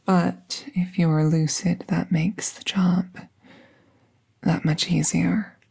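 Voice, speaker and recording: A woman playing a calm, gentle magical veterinarian in a soft, breathy, soothing ASMR-style roleplay, often half-whispering. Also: fairly low pitch, heavily acted. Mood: calm, reassuring. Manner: slow, hushed, soothing, reassuring. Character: gentle, calm female magical veterinarian treating a wounded werewolf.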